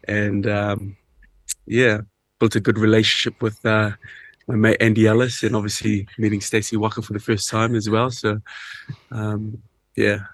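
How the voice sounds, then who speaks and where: A man's voice: lowish voice, little pitch movement, normal speed. A man with a New Zealand accent, a rugby player, answering interview questions in a hesitant, laid-back way full of ums. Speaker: rugby player and show host. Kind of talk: casual interview chat.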